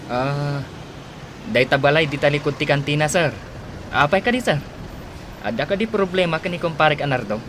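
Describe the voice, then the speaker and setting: Man cast as radio drama driver, Filipino accent, lightish pitch, inquisitive, with clear background noise. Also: normal speed, pauses often, lightly acted. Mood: polite, curious. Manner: deferential, inquisitive. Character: curious, friendly male driver at a terminal.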